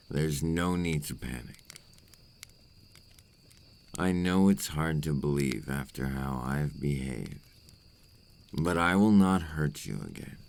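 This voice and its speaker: A man playing a brooding, remorseful male vampire in an intimate ASMR roleplay, speaking in a deep, gravelly voice with slow, formal phrasing. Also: fairly bright tone, full character voice. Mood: gentle, reassuring. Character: remorseful adult male vampire, brooding and tormented by his curse.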